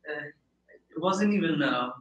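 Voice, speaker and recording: A man's voice: on the high side, lively intonation, slow pace, a bit bright. A man in his thirties with an Indian accent, talking casually and reflectively about himself as an interview guest, with hesitant phrasing. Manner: reflective, hesitant phrasing with many "you know". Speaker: podcast guest, product manager. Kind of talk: casual interview, personal account. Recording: echoey room.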